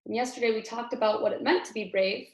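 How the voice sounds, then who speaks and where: Echoey, higher-pitched woman reading to children. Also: fairly even intonation, fast. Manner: theatrical, with emphasis and explanatory asides. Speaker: kindergarten teacher. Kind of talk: expressive storybook read-aloud for young children. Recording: echoey room.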